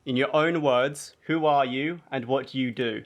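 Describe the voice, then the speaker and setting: Male podcast host with quick affirmations. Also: medium pitch, muffled recording. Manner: short affirmations, loose phrasing. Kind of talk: casual interview hosting.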